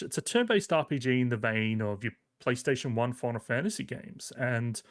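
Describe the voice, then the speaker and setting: A man giving a casual, conversational game review as a podcast reviewer, rambling a little with hesitant but enthusiastic phrasing. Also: medium pitch.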